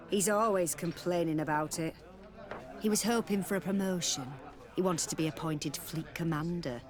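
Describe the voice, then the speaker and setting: A woman's voice: lowish voice, natural voice. A woman playing a sweet-talking tavern courtesan in a video game, coy, familiar and teasing. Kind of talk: video game dialogue acting.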